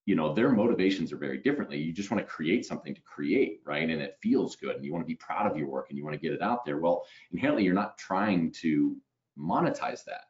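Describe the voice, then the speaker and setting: Deep-voiced headset host on a call. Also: male voice, a little mellow. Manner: conversational, tags lines with "right?". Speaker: webinar host. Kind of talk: casual video call interview. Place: home room. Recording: slightly dull video call audio.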